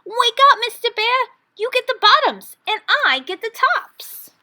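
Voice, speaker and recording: A woman's voice: very high voice, sing-song, measured pace, sharp tone, pitched above the performer's own voice, full character voice. A woman voicing an eager, crafty male hare, persuasive and chirpy, in a read-aloud storybook. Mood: cheerful calling. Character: eager, crafty male hare neighbor, smooth-talking schemer.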